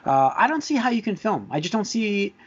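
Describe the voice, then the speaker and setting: A man's voice: high-pitched, big pitch swings, fairly quick, breathy edge, muffled recording. A man hosting a casual movie-news podcast, talking in a hesitant way and repeating himself as he makes his point. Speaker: podcast host.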